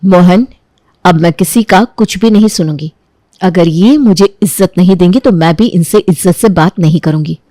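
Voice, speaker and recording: A woman playing a young daughter-in-law in a story, firm and measured, polite but standing her ground. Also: on the low side, dark tone, no echo, lightly acted. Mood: firm, defiant.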